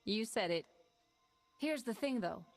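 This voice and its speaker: An actress voicing a tired female ex-smuggler pilot in a video game, pitching a heist in a brisk, businesslike way. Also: lively intonation. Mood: scheming.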